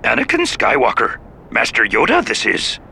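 A man voicing a tiny ancient alien master who speaks calmly with his words in reversed order. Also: mid-range voice, normal speed, vocal fry, a little hiss or hum, full character voice. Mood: calm urgent. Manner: inverted word order.

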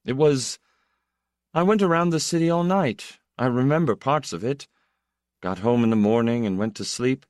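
A man's voice: medium pitch, moderate pace, a little mellow, no echo, clean studio sound, voice pushed up, natural voice. A man voicing an intense, melancholy younger brother, a proud poet who speaks in a restless, confessional, emphatic way.

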